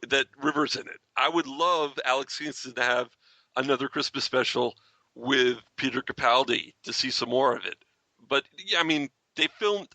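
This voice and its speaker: A man's voice: medium pitch, vocal fry, pushed and bright, no echo, poor audio. A man hosting a casual fan podcast, chatting with co-hosts. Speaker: podcast host.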